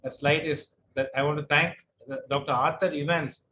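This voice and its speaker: A man's voice: fairly high pitch, steady tone, normal speed, sharp tone, slight background noise. A middle-aged man lecturing online with a droning voice, answering questions patiently and explaining step by step. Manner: patient, explanatory, some repetition.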